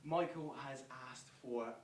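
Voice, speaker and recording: A man's voice: medium pitch, takes it slowly, lightly acted. A man playing a practical, approving charity event organiser in a stage monologue. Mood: businesslike. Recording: distant, echoey, noisy stage recording.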